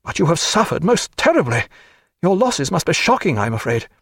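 A man's voice: on the high side, very animated, fairly quick, fairly bright tone, breathy edge, no echo, pitched above the performer's own voice, full character voice. A man voicing an effusive middle-aged merchant captain in an audiobook, eager and fussy.

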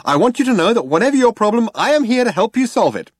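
A man voicing a brash, over-bright shipboard computer in an audiobook, eager and salesy as if selling detergent. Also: high voice, big pitch swings, quick pace, dry recording, clean studio sound, pitched above the performer's own voice. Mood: brash cheery. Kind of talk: audiobook character voice.

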